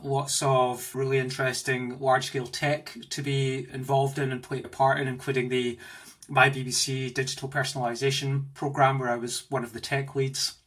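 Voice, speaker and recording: A man's voice: mid-range voice, moderate pace. A man in his forties with a Glaswegian accent, an enthusiastic guest telling stories about his career in a podcast interview from his home office. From Scotland. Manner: animated, enthusiastic storyteller. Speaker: guest, tech executive.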